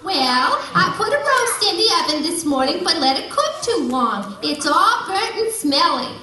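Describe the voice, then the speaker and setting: A woman's voice: very high voice, sing-song, a little slow, bright, forceful tone, full character voice. A woman voicing a sweet, doting housewife puppet in a comedy skit, with a sugary, theatrical manner. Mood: sweet, cheerful. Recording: very echoey room, distant mic.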